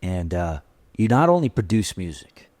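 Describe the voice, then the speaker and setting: A laid-back man hosting a relaxed conversation, speaking in a low voice. He talks in a slangy, joking way. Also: moderate pace. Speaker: podcast host. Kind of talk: casual conversational interview.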